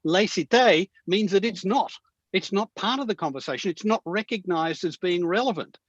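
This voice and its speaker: A man's voice: high voice, expressive, normal speed, slightly rough, no echo, dull audio. A man moderating a discussion panel, posing questions to the guests. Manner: inquisitive, brief prompts.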